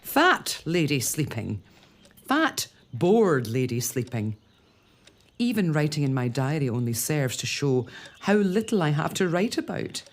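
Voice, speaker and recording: A woman's voice: low-pitched, big pitch swings, a little slow, heavily acted. A woman playing a young pregnant noblewoman in a period audio drama, earnest and wry. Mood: restless.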